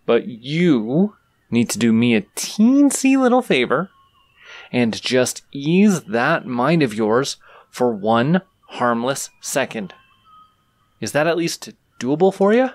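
A man playing an unhurried, easygoing, teasing adventurer. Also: medium pitch, sing-song, dry recording. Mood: teasing, coaxing. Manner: casual, reassuring, playfully cocky. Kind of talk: audio roleplay, speaking directly to the listener.

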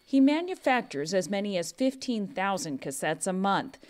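A woman's voice: medium pitch, sing-song, moderate pace, darkish tone. A woman with an American accent reading scripted narration as a news correspondent. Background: background music.

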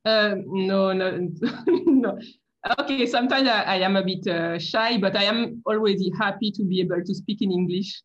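A woman's voice: mid-range voice, normal speed. A young woman with a French Caribbean accent, a chemist guest on a video call, speaking hesitantly with many fillers and frequent laughs. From Caribbean island. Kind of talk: casual interview. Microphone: laptop webcam on video call. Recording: dull lo-fi video call audio.

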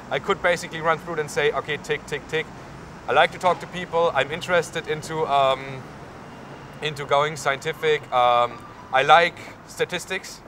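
A man's voice: fairly high pitch, a bit bright. A young man with a German accent, a university student answering interview questions outdoors in a town square, with many fillers. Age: young adult. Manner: lots of fillers, gestures while talking. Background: faint street and traffic noise.

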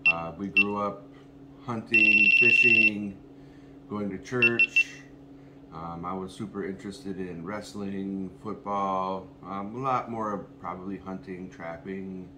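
Low-voiced man in his 30s, halting home video story, echoey kitchen. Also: fairly even intonation. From Minnesota, USA. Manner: halting, lots of ums and restarts. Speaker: co-host, massage therapist. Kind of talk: casual personal story to camera. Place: home kitchen, indoors, small room. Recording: echoey room with background noise.

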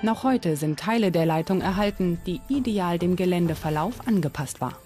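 A woman's voice: fairly low pitch, expressive, moderate pace. A woman reading a scripted documentary narration as the narrator. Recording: music bed under the voice. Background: background music.

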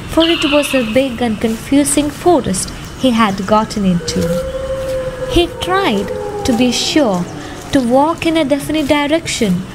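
A young woman narrates a radio play from a script. Her voice is high, and it sits over clearly audible background noise and ambient sound, as in an amateur production. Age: young adult.

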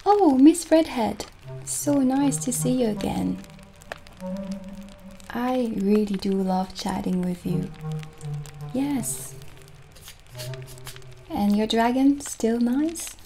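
A young woman playing a gentle, kindly witch shopkeeper in an ASMR roleplay, soft-spoken and soothing, slipping toward breathy near-whispers. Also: fairly high pitch. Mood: friendly, chatty. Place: small indoor candlelit set.

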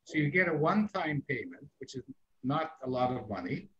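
A man's voice: fairly high pitch, sing-song, brisk, breathy edge. A middle-aged man, hosting an interview over a video call, talking frankly with many fillers. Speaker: interview host. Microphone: video call, computer mic. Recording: muffled video call audio.

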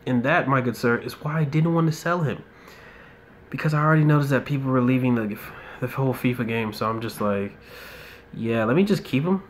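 A young man talks casually over a mobile football match, half to himself, slipping into mild rants. His voice sits at a middle pitch for a man. It is recorded on a webcam in a room, with a faint hiss underneath. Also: a little fast. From United States. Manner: laid-back, muttering asides, ranting. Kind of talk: relaxed gameplay commentary. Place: indoor room.

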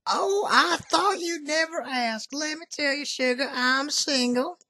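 A man's voice: very high voice, measured pace, pushed and bright, slight background noise, heavily acted. A man doing a salty old Southern country woman. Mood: brash flirty. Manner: folksy, salty, drawling, calls people honey. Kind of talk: prank call.

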